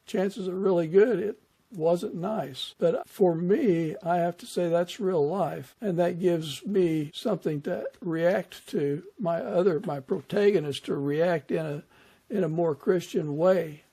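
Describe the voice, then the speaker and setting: Male podcast host, husky and mellow, measured interview delivery. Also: high-pitched. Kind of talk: interview conversation.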